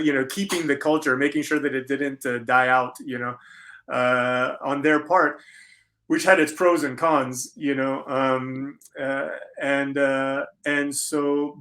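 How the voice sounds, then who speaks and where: A middle-aged man with an American accent speaks reflectively in an interview, pausing thoughtfully and rephrasing as he goes. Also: medium pitch, a bit bright. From United States, Florida. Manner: halting, thoughtful, repeats and rephrases. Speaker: interview guest, conceptual artist.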